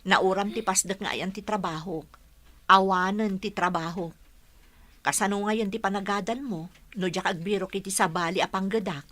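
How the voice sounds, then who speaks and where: An actress with a Filipino accent playing a hardworking, self-sacrificing older sister in an Ilocano radio drama. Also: female voice, mid-range voice, fairly even intonation, normal speed, a bit bright, natural voice. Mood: matter-of-fact.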